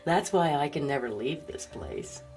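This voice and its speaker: A woman's voice: low-pitched, sing-song, measured pace, darkish tone, soft background music, exaggerated voice. An actress playing a jaded, drug-dependent woman resigned to her life, languid and intimate, in a radio drama.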